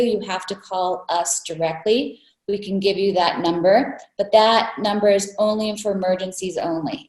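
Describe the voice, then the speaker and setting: A woman speaking as a Red Cross spokesperson, explaining things clearly and firmly. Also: medium pitch, a little mellow. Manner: clear, firm, clarifying. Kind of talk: explanatory presentation. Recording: echoey room sound.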